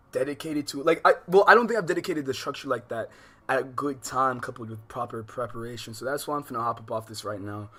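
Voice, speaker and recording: A man chatting casually as a livestream host, animated, with slangy, profane speech and rambling unfinished thoughts. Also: medium pitch. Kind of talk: casual livestream chat, reacting and addressing viewers.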